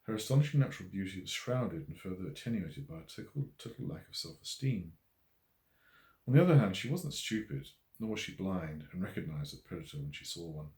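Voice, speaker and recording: Deep-voiced man narrating in an echoey room. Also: a little mellow. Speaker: author reading own novel. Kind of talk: scripted audiobook narration. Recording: roomy, echoey voice recording.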